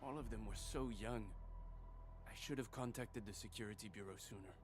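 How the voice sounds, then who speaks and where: A man's voice: on the low side, brisk, slight background noise, natural voice. A man playing an earnest, worried worker in a video game, speaking emphatically in defense of his friend.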